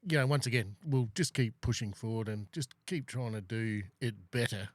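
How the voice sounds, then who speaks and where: A man's voice: medium pitch, moderate pace, no room sound. A middle-aged man hosting a casual podcast chat, talking in long rambling runs full of fillers. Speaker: podcast host.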